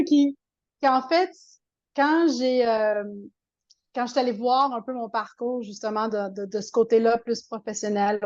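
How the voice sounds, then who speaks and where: A woman's voice: on the high side, darkish tone, highs cut off. A woman with a Québécois French accent, a coach talking as an interview guest in a chatty way, full of fillers and restarts. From Quebec. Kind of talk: casual interview talk.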